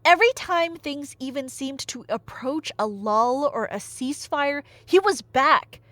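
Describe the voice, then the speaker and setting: A young woman retelling a tale as a chatty, theatrical storyteller, with emphatic delivery, dry humor and dramatic pauses. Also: high voice, expressive, a bit bright, dry recording. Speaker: audio storyteller playing a nerdy friend. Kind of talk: casual dramatic retelling of myth, as ASMR roleplay.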